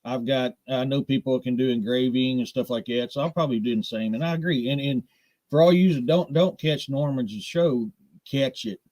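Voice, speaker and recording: Casting: American man, middle-aged, direct no-nonsense podcast co-host, folksy phrasing, average pitch, dry recording. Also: moderate pace, a little mellow, dry recording, rough recording. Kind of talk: casual live podcast chat.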